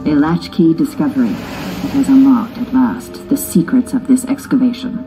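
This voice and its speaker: An actress playing an imperious, coolly brilliant military scientist in a sci-fi game, with formal, precise diction. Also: female voice, a bass voice, moderate pace, over music. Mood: dry, composed. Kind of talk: cinematic sci-fi game acting. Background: loud dramatic score.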